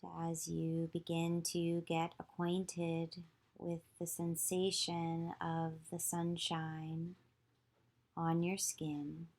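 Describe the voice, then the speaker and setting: A woman yoga teacher leading a guided visualization meditation, giving slow, soothing instructions with long pauses. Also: lowish voice, monotone, a little mellow.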